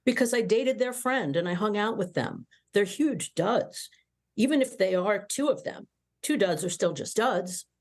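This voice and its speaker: A brash young female officer, played by a woman reader with a mid-range, very animated voice. She is blunt and teasing, suited to a cartoon space comedy. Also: mid-range voice, unhurried. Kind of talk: fan table read of an animated sci-fi comedy script.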